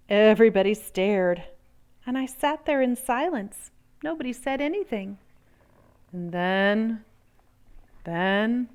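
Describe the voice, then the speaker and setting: A woman reading a children's picture book aloud in an expressive, theatrical way, drawing out words and pausing for emphasis. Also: sits high, clean tone.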